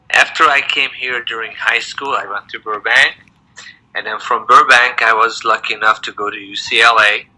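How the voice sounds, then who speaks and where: A man's voice: on the low side, bright, forceful tone, low-quality recording. A man in his sixties who grew up in Hungary, answering interview questions in a thoughtful, hesitant way with many fillers and restarts. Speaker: interviewee, semi-retired dentist.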